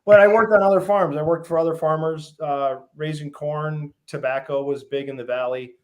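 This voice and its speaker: A man's voice: on the high side, moderate pace. Man from Massachusetts telling farm stories. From Western Massachusetts, USA. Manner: relaxed storytelling with many ums and lists. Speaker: guest, fish farm founder. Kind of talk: casual interview.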